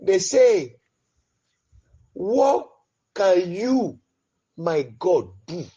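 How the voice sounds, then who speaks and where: A man leading an impassioned, pleading prayer, swinging between loud cries and low murmurs. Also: very animated, extremely slow, slightly rough, dull audio. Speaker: prayer leader. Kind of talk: fervent spoken prayer.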